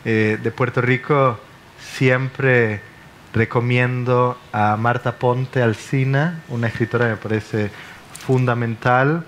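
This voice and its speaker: A man speaking on a literature panel in a measured way, often checking in with tag questions. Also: mid-range voice, fairly even intonation, slight background noise.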